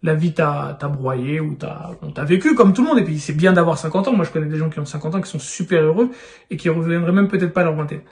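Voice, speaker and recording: Thirtysomething guy w a high voice, pushy and persuasive, talking straight at u like a buddy. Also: fast, darkish tone. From France. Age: 30s. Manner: urgent, persuasive, addresses viewer informally. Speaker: real estate YouTuber. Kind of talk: direct-to-camera advice vlog.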